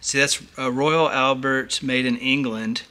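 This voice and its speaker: Excited man rummaging through a storage unit. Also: medium pitch, measured pace, heavy background noise. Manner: excited, chatty, addresses viewers as y'all. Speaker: storage unit treasure hunter host. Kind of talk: casual vlog unboxing chat. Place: indoor self-storage hallway.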